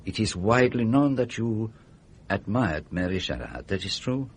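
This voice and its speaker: An actor playing a courteous, probing foreign detective in a radio drama, speaking formally and precisely with inverted phrasing. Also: male voice, fairly low pitch, sing-song, moderate pace, slight background noise. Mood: measured, insistent.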